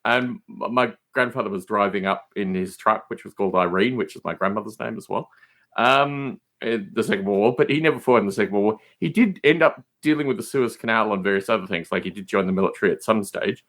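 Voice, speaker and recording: A man's voice: on the low side, fast talker, fairly bright tone. A man chatting casually as a podcast guest, telling stories in a jokey, digressive way. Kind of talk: casual storytelling chat.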